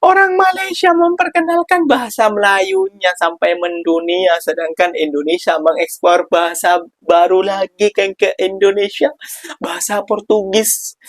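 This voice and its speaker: Guy putting on a shrill teary voice begging some official, way high, dry sound. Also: unhurried, no echo, soft background music. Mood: wailing, pleading. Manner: shrill, near-tearful, exaggerated pleading.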